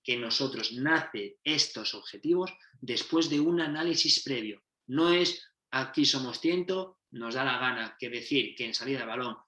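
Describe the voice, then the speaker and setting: A man's voice: medium pitch, normal speed, bright, forceful tone. A man, a football coach giving a methodology talk, explaining step by step and often correcting himself mid-sentence. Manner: explanatory, restarts and self-corrections. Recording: roomy echo.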